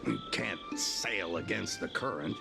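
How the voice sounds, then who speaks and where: A man's voice: low-pitched, lively intonation, a little slow, vocal fry, heavily acted. An actor playing a stern, stubborn old craftsman and father in scripted game dialogue, grumbling and hesitant. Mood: grumbling, reflective. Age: older. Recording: game music behind the voice.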